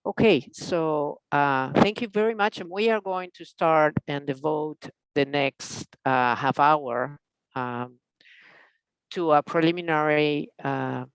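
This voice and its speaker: A middle-aged woman with a Spanish accent and a creaky voice chairs a public meeting. She speaks haltingly and deliberately, and the sound is close and dry. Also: sits low, dry recording, poor audio. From Spain. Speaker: commission chair.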